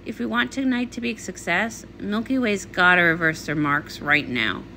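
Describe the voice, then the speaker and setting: A woman's voice: mid-range voice, normal speed, pushed and bright, slight background noise, pitched below the performer's own voice. A woman voicing a blunt, determined young female cartoon pony in a comic read-along.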